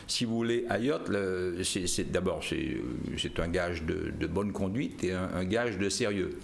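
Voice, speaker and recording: A man from Martinique, a guest author, talking haltingly with many restarts in a live studio interview. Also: deep voice, vocal fry, slight background noise.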